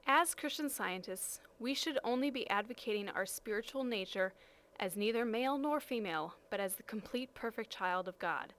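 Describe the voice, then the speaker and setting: A woman's voice: a little high, expressive, normal speed, a bit bright. A woman reading a prepared statement aloud.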